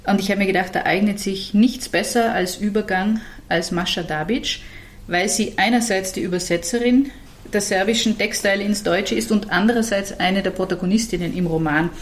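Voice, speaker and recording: A woman co-hosting a podcast who calmly steers the interview with guiding questions. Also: medium pitch, normal speed. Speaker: podcast co-host. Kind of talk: casual interview.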